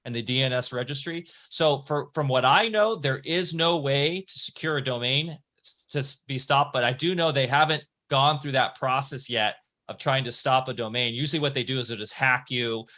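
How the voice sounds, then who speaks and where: A man's voice: mid-range voice, normal speed, sharp tone. A man hosting a podcast, talking casually and rambling, often restarting and correcting himself mid-sentence. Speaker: podcast host. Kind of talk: casual conversation.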